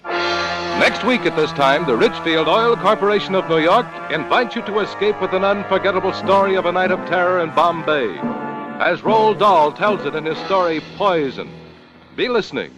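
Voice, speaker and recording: Lively 1950s male radio announcer. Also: high-pitched, normal speed. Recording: dull 1950 radio recording, music behind.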